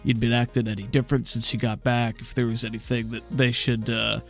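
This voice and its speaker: A man playing a gentle, loving father in a tabletop game, speaking in halting, reassuring tones. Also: mid-range voice, little pitch movement, faint music behind, natural voice. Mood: hesitant, awkward. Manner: halting, soft reassurance with frequent stammers.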